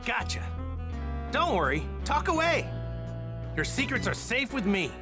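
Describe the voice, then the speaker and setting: A woman voicing a naive, cheerful, battle-hungry male martial arts hero in an anime video game dub, with a folksy, boyish, excitable manner. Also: a little high, measured pace, over music. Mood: easygoing.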